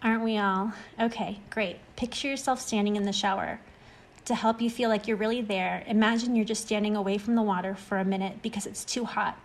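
A woman's voice: medium pitch, steady tone, brisk, natural voice. Woman doing a supportive friend in an audio story, chatty, explains things kindly and encourages. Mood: encouraging. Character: reassuring, talkative friend who overcame extreme anxiety. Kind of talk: acted short-story dialogue reading.

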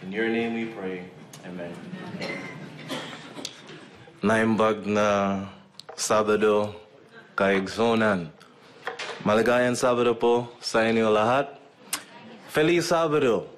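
Low-voiced Jamaican man telling his story. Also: slow pace, pauses often, a little hiss or hum. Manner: relaxed storytelling with frequent fillers. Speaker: medical missionary speaker. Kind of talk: personal testimony talk to a church audience. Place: auditorium stage with curtain backdrop. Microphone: stand mic at a lectern.

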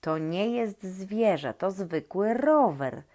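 A woman's voice: medium pitch, animated, slow pace, mellow, pitched above the performer's own voice. A patient young boy from a children's storybook, voiced by a woman reader. He speaks in a raised, emphatic voice, explaining things with insistence to his monster friends. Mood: patient, insistent.

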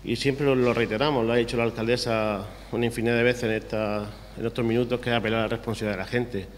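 A man's voice: mid-range voice, level tone, fast. A young adult man with a Murcian Spanish accent, speaking earnestly at a press conference podium. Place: city hall press room, indoors. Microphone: podium microphone. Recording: reverberant hall, faint room hiss.

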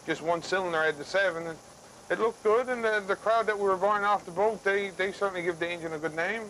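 A middle-aged male skipper talks in a Newfoundland accent, high in pitch. He is understated and unscripted, with faint engine noise in the wheelhouse behind him. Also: fast talker, sharp tone, rough recording. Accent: Newfoundland and Labrador. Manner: plain-spoken, understated. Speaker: tugboat skipper. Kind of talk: casual, unscripted talk. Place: tugboat wheelhouse at sea.

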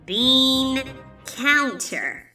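A woman's voice: high-pitched, very animated, very slow, sharp tone, far from the mic, faint music behind, full character voice. A woman voicing a boastful, flustered ghostly pony magician in a cartoon comic dub, stammering and exclaiming theatrically. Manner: theatrical, stammering, exclaiming, refers to self in third person.

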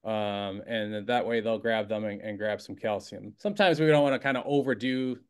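A middle-aged man who gives bird feeding advice on a video call, measured and hesitant, with many fillers. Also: on the low side, clean tone. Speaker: bird seed store expert. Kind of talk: casual panel chat.

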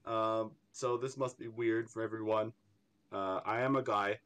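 Young man, Irish accent with an American tinge, lowish voice, stammering, rambling, laughs a lot. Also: steady tone, a little slow, fairly bright tone, clear, resonant tone. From Ireland. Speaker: artist guest. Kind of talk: casual interview chat.

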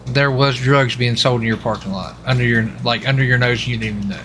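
Male game streamer, mid-pitched and bright, casual halting role-play as a lawman, light background noise. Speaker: streamer playing a US marshal in online role-play. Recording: steady background noise, game vehicle sounds. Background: game vehicle and ambient sounds.

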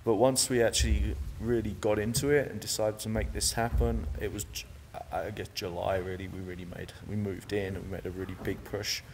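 A low-pitched man speaks in a relaxed, rambling way, restarting his sentences as he answers an interviewer. He is a church cofounder talking casually inside a large chapel, with faint room ambience behind him. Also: fairly quick, few pauses, in a large hall, a little hiss or hum. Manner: easygoing, rambling, many restarts.

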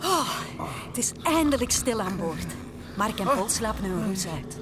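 A woman's voice: big pitch swings, measured pace. A woman playing a sensible, disapproving plane passenger in a comic-book story. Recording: very noisy vehicle rumble.

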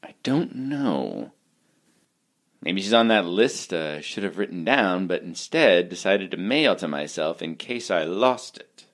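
A man's voice: low-pitched, lively intonation, a little slow. A man playing a goofy, rambling, scatterbrained and awkward guy. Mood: sheepish.

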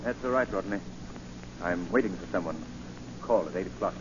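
Low-voiced gentleman sleuth played by a man, vintage radio. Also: moderate pace, natural voice. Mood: controlled. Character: composed, guarded gentleman sleuth, wealthy man about town. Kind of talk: 1940s radio acting. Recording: noisy, muffled 1940 radio recording.

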